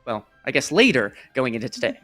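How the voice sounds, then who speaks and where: A man's voice: medium pitch, very animated, fairly quick, fairly bright tone, soft background music. A man hosting an esports broadcast in casual, rambling live desk chat, jokey, self-deprecating and enthusiastic. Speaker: esports broadcast host and caster.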